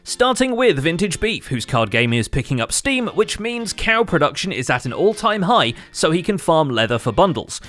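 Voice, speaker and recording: A man narrates a scripted gaming recap as its host. His voice sits a little high and swings widely in pitch, with a wry delivery over faint music. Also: big pitch swings, normal speed, hardly any pauses, fairly bright tone.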